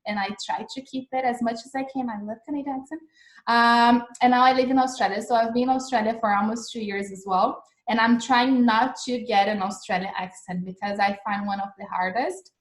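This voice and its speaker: A young Brazilian woman teaching English over a video call, her slightly high voice friendly and talkative. She keeps checking in with her listeners as she explains. The sound is roomy, with a noticeable echo. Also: fairly even intonation, brisk. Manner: chatty and encouraging, with frequent "okay?" checks. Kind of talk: livestreamed English lesson. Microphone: video call recording.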